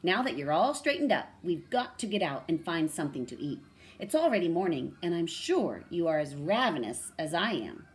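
A woman's voice: on the low side, big pitch swings, normal speed. A woman voicing a worried, motherly hyena who fusses, in a storybook reading. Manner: fussing, motherly.

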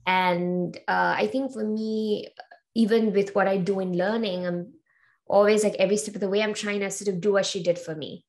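A woman's voice: medium pitch, steady tone, moderate pace. A woman in her twenties from Sri Lanka, a guest on a video call interview, answering thoughtfully with many ums and uhs. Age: 20s. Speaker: interview guest, learning experience designer. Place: home room with bookshelves. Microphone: video call webcam.

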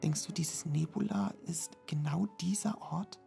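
Man playing a gravelly skeptical student. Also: on the high side, unhurried, warm tone, faint music behind. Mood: musing. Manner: doubtful, questioning. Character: young male student, skeptical sidekick. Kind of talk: German fan audio drama.

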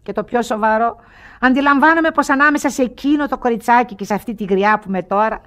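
An actress playing a rich, bored and lonely old aunt in a radio play, wry and commanding. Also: female voice, on the high side, fairly bright tone, lightly acted. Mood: weary, reflective. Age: older. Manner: world-weary, grand. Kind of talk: theatrical radio play acting.

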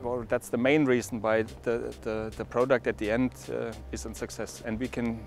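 A middle-aged man being interviewed outdoors on a lapel mic, speaking haltingly with many restarts and fillers. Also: medium pitch, flat delivery, vocal fry, faint music behind. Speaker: industry executive interviewed. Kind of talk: interview answers. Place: outdoors at a boat show marina.

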